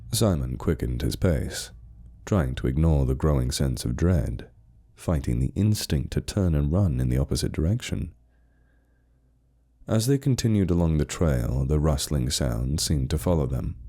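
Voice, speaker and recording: Casting: man with a very deep, dark voice for measured, suspenseful horror narration. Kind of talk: scripted narration of a spooky tale.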